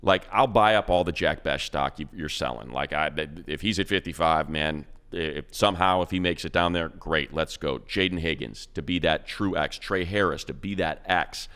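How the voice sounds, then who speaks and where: Deep-voiced man talking football. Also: a bit bright, clean tone. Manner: rambling, fast, emphatic. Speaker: radio play-by-play announcer and co-host. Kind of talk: animated football talk.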